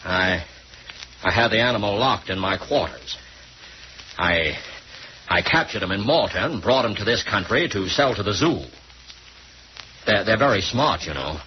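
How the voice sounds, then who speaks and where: An actor playing a guilt-ridden, pleading sailor in an old-time radio melodrama, agitated and halting. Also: male voice, lowish voice, lively intonation, natural voice. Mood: confessing. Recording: muffled, noisy old radio recording.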